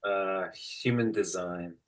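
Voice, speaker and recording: A man's voice: sits low, takes it slowly. A British man chatting casually as an interview guest, giving short, hesitant answers with a jokey touch. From United Kingdom.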